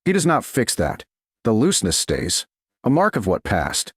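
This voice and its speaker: A man narrating a scripted romance story in a measured, deliberate, dramatic way, phrase by short phrase. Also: on the low side, wildly swooping pitch, breathy edge, no room sound, clean studio sound. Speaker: audiobook narrator.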